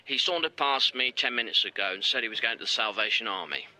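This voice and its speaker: A man's voice: medium pitch, quick pace, pushed and bright, low-quality recording. An actor playing a dutiful junior police detective in a radio drama, reporting to his chief in a matter-of-fact manner. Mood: businesslike.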